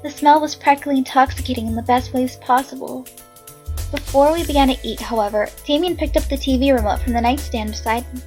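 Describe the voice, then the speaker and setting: Hoarse young woman reading a game aloud. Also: high voice, fast talker, faint music behind. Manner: chatty, excitable asides. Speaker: game reader and narrator. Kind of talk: reading a visual novel aloud with comments.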